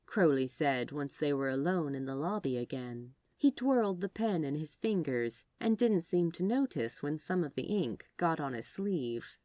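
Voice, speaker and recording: A woman reading a story aloud as a narrator, in an expressive, scripted storytelling style. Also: low-pitched, normal speed, few pauses, no echo, clean studio sound. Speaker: audiobook narrator of fan fiction.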